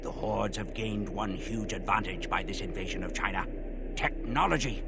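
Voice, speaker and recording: A man's voice: mid-range voice, vocal fry. A grim Mongol horseman chronicler. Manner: grave, measured storytelling. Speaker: narrator. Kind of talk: scripted game cutscene narration.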